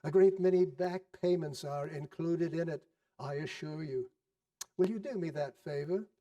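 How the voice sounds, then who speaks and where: A man voicing an overjoyed, reformed old miser in a theatrical storybook reading, eager, exclamatory and halting with glee. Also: fairly high pitch, warm tone, highs cut off, close to an everyday voice. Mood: delighted, courteous. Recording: distant microphone.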